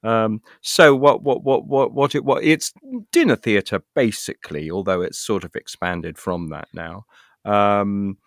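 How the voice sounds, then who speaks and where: A middle-aged man with a fairly low voice, recorded close and dry. He talks as an interview guest, telling anecdotes in a halting way and doubling back over words as he explains. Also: no room sound. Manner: halting, stammered repetitions as he searches for words. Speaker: interview guest, actor and writer.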